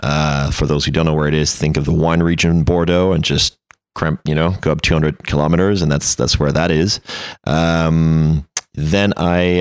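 Very deep-voiced Frenchman in his thirties. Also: clean tone, no room sound, studio quality. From France. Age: 30s. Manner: verbose, self-deprecating, anecdotal. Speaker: interview guest, creative director. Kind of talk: casual conversational interview.

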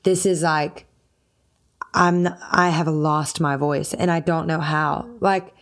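A woman's voice: low-pitched, steady tone, slow pace. A young adult woman, a singer, talking casually and acting out quoted dialogue as she tells a story. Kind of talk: casual conversation.

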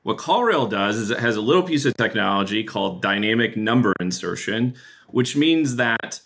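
A man's voice: lowish voice, animated, moderate pace, vocal fry, fairly bright tone. A man speaking as an executive guest on a podcast, explaining things in a conversational way.

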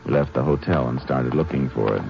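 Brief: a low calm lawman narrator, muffled old radio, music under. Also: fairly quick, gravelly. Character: steady male Texas Ranger narrating his case. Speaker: narrator and lead. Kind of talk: 1950s radio drama narration.

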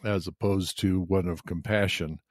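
An older man explaining ideas in a podcast chat, hesitant with many filler pauses. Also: deep voice, fairly even intonation, no echo, studio quality. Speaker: podcast co-host. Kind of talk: explanatory conversation.

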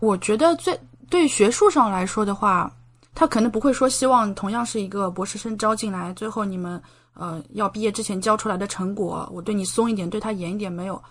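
A woman's voice: medium pitch, brisk. A young woman from China, a doctoral student speaking as an interview guest, explaining things in a conversational way. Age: young adult.

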